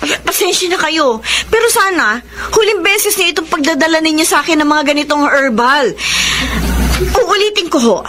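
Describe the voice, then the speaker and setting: A woman's voice: extremely high pitch, animated, moderate pace, pushed and bright, exaggerated voice. An actress playing an exasperated, pressured married woman of thirty in a Filipino radio melodrama, speaking firmly. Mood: hurt, firm. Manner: exasperated, firm.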